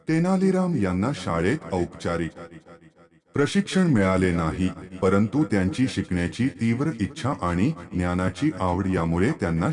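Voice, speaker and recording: A man's voice: low voice, a little mellow. A man reading a scripted biographical narration as a storyteller.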